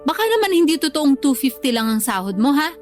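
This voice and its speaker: A woman's voice: sits high, very animated, a little fast, faint music behind. A woman playing a harsh, selfish mother in a Tagalog radio drama, scolding and domineering with a Filipino accent. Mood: accusing, suspicious. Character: harsh, selfish, money-minded mother scolding her grown child.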